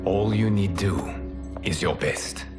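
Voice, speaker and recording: An actor playing a calm, wise male mentor figure in a video game cutscene, measured and courteous. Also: sits low. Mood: serene. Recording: music underneath.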